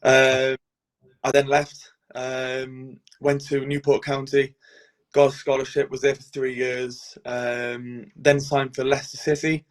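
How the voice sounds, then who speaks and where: Young Welsh man, flat delivery. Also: mid-range voice, slow pace. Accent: Welsh, Cardiff. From Wales. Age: 20s. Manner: relaxed, many "um"s and "and stuff". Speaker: guest goalkeeper. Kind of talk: casual interview answers.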